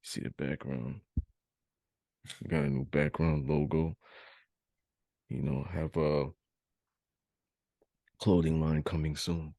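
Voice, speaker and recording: A man's voice: very deep voice, flat delivery, takes it slowly, creaky, pauses often, dark tone, no room sound. A man hosting a podcast, interviewing in an informal, conversational way. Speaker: podcast host.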